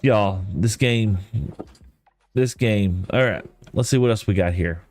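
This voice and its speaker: A man's voice: fairly low pitch, takes it slowly. Rambling Texan man chatting on a stream. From East Texas. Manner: rambling, self-deprecating, folksy. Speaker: livestreamer. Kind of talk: casual gaming stream chat. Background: soft lofi music.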